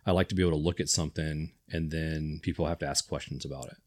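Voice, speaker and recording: A man with an extremely low, deep voice hosts a casual podcast conversation. He rambles, restarts his sentences and gets enthusiastic about his ideas. He sounds middle-aged. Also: fairly even intonation, fast talker. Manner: rambling, self-correcting, enthusiastic. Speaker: podcast host. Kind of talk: casual chat.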